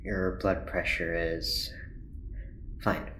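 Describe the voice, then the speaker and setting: An actor playing an earnest, worried scientist doctor in an audio drama, with a careful, clinical manner. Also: male voice, deep voice, little pitch movement, extremely slow, bright, forceful tone, heavily acted. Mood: guarded, worried. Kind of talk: naturalistic audio drama acting. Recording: roomy, echoing, faint background noise.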